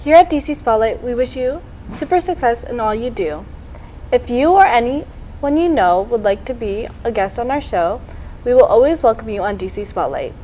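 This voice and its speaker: A woman's voice: a little high, animated, normal speed, warm tone, slight background noise. A woman hosting a local TV interview show, upbeat and full of praise for her guest. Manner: upbeat, complimentary. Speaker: TV show host.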